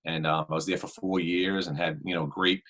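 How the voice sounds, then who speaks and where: A man's voice: low-pitched, little pitch movement, brisk, a bit bright. A middle-aged man with a Boston accent, a basketball coach talking as a podcast guest in a rambling way, full of "you know" and "um". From Worcester, Massachusetts. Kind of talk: casual interview chat.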